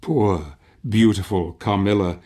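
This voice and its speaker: Ominous older narrator, low voice, slow, theatrical, dramatic game storytelling. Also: male voice, dark tone. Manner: theatrical, measured.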